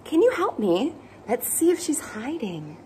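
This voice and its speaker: A young woman with a high, very animated voice reads a picture book to small children. She takes her time and exaggerates playfully, asking questions as she goes. It is recorded in a room with some background noise. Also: a little slow. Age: young adult. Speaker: children's story time host. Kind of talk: animated picture-book read-aloud for toddlers. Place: small indoor playroom with bookshelves.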